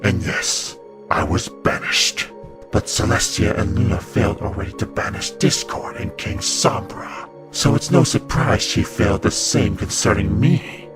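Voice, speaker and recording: A man's voice: on the low side, big pitch swings, measured pace, music in the background. A man playing an evil ancient winged serpent spirit in a cartoon comic dub, gloating and mocking with theatrical delivery. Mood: gloating, sinister.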